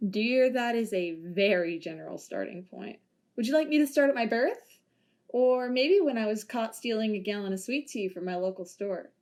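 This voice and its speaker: A woman's voice: a little high, moderate pace, a little mellow, close to an everyday voice. A woman voicing a retired policewoman in an amateur audio drama, dry and sardonic. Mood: wry.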